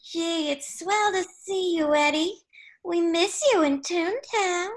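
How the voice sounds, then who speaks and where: A woman's voice: very high voice, takes it slowly. A woman voicing a sweet, flirty cartoon showgirl with a coy, cutesy manner in an amateur table read.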